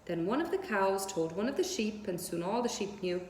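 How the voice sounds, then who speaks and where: A woman's voice: medium pitch, animated, moderate pace, a little mellow, a little hiss or hum. A woman reading a children's story aloud as narrator. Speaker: story reader. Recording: echoey room with long reverb.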